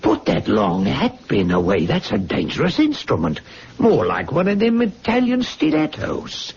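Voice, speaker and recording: An actor playing a jittery, folksy drinking chum who stammers and soothes, in old radio drama style. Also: male voice, mid-range voice, huge pitch swings, unhurried, darkish tone. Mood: placating. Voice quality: creaky, swooping voice. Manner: stammering, colloquial, placating. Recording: dull lo-fi recording, faint noise.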